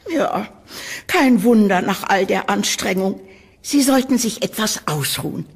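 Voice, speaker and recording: Woman playing a husky, slow-talking old landlady. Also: medium pitch, lively intonation. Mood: motherly, insistent. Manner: fussy, drawn-out, cooing. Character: sweet, frail elderly landlady, eerily motherly. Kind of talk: German audio horror drama acting.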